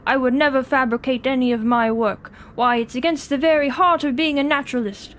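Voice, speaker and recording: Woman doing a loud, pompous British gentleman explorer. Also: high-pitched, steady tone, a little fast. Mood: indignant, proud. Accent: attempted British. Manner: pompous, declamatory, theatrical. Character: pompous gentleman explorer sea slug, blustering and indignant.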